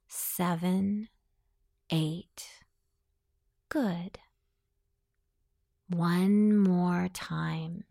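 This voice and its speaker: A woman leads a guided meditation at an extremely slow, deliberate pace. Her voice sits a little low and has a clear creak to it. She gives soothing instructions. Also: warm tone.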